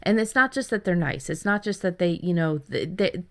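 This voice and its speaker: A woman's voice: fairly low pitch, lively intonation, fairly quick. A woman in her thirties co-hosting a podcast in casual chat, effusive and emphatic, often repeating for stress. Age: 30s. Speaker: podcast co-host.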